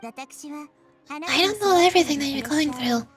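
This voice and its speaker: High-voiced gentle, wise child goddess. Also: female voice, animated, measured pace. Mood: gently advising. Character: gentle wise child deity, curious and earnest girl. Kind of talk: scripted game character dialogue. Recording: game music behind the voice.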